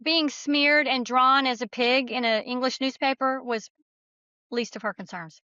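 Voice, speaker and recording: A woman with a fairly high voice talks as a guest author in an interview. Her way of speaking is plainspoken and folksy. The audio is dry and a little muffled. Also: steady tone, unhurried, pushed and bright, no room sound. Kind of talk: conversational interview.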